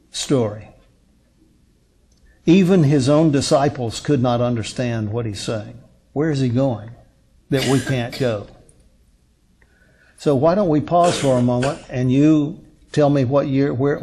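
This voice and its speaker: Older guy pastor preaching bible study, slow n deliberate, soft dark tone, emphatic pauses. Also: mid-range voice, breathy edge. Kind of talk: sermon, expository Bible teaching from a lectern. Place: indoor lectern on a curtained stage.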